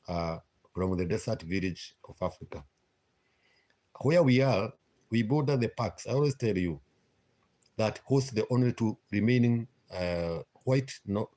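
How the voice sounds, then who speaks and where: A Kenyan man chatting casually with viewers on a live stream, pausing often on "uh" and repeating himself. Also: lowish voice, darkish tone. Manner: hesitant, frequent "uh" fillers, repeats himself.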